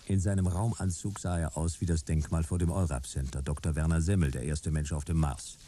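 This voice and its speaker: An actor playing the wry male narrator of a detective radio drama, delivering scripted lines in a dry, clipped, deadpan way. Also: extremely low pitch, fairly quick, a little hiss or hum. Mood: measured.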